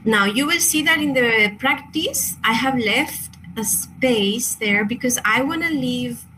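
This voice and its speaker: A woman's voice: a little high, takes it slowly, sharp tone, slight background noise, poor audio. Chilean woman presenting a crystal workshop. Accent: Chilean Spanish. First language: Spanish. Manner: hesitant, apologetic, frequent fillers and restarts.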